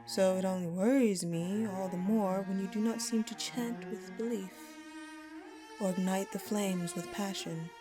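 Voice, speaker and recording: Slow, imperious dark goddess acted by a woman. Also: mid-range voice, steady tone, natural voice. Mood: concerned, reassuring. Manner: commanding, formal, grandiose diction. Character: imperious ancient eldritch dark goddess, ominous yet concerned. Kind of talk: theatrical audio roleplay, addressing the listener. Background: background music.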